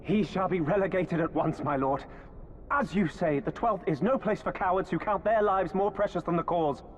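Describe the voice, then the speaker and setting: Game underling male actor: slightly high voice, formal, servile military commander, uneasy, some background noise. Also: moderate pace, dull audio, close to an everyday voice. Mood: obsequious. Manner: formal, obsequious. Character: deferential, anxious subordinate imperial commander. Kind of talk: dramatic video game cutscene acting. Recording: reverberant hall, background noise.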